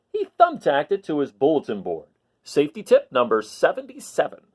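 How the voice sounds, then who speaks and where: A man reading a children's picture book aloud expressively, pausing for asides and questions to his listeners. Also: fairly high pitch. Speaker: read-aloud storyteller.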